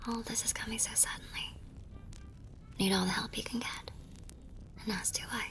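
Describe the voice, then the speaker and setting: A woman's voice: fairly high pitch, fairly even intonation, normal speed. Whispering, tender young war nurse played by a woman. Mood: hopeful, tender. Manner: soft, breathy, affectionate murmuring. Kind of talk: ASMR romance roleplay, whispered and intimate.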